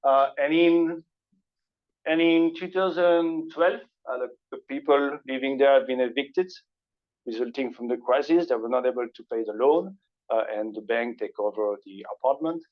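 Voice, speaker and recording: A man's voice: mid-range voice, moderate pace. A man from Belgium whose first language is French, giving an academic talk in a seminar room with a casual, hesitant delivery. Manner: relaxed conversational lecturing, frequent hesitations. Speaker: guest speaker. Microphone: passed microphone. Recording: dull lo-fi lecture recording.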